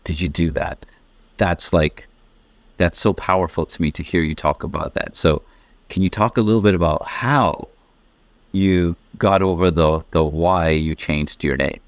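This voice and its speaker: A man's voice: deep voice, unhurried, dry recording. A man hosting a podcast, asking earnest, encouraging interview questions with frequent affirmations. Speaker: podcast host.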